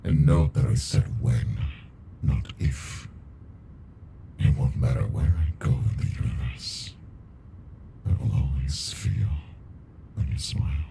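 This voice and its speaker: A man voicing an ancient captive alien monster in an ASMR roleplay, deep and gravelly, brooding, intimate and possessively smitten with a human. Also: expressive, background noise, exaggerated voice. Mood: smug, infatuated. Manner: slow, brooding, deliberate, intimate.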